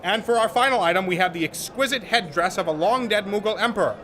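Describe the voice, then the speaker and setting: An actor playing a courteous, formal museum auction presenter in a radio drama. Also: male voice, high voice, fast talker, fairly bright tone. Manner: polite, formal announcing. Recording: busy crowd behind.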